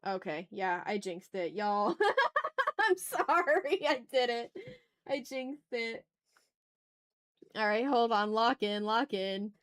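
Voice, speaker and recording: A woman's voice: fairly high pitch, very animated, slow, long pauses, a bit bright, clear, resonant tone. A woman chatting casually as an art livestream host, informal and thinking aloud as she draws. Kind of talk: casual livestream chat while drawing.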